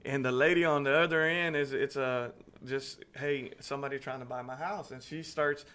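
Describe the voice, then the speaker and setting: A man in casual chat, telling animated anecdotes and laughing often. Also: medium pitch, normal speed, clean tone.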